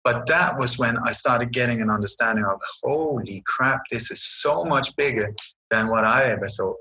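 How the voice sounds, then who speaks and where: A man's voice: mid-range voice, moderate pace, sharp tone, low-quality recording. A middle-aged man from Sweden, answering interview questions in an emphatic, sarcastic storytelling manner. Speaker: guest researcher.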